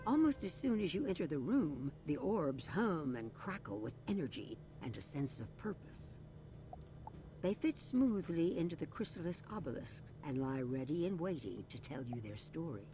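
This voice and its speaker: Male storyteller, soft dark tone, animated intonation, scripted game narration over faint rumbling noise. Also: normal speed.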